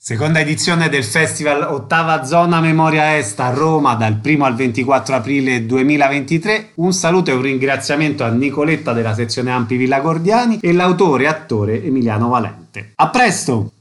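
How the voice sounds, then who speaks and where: A man's voice: mid-range voice, expressive, a little fast, few pauses, a bit bright. A male radio host presenting guests and putting short questions to them in an interview style.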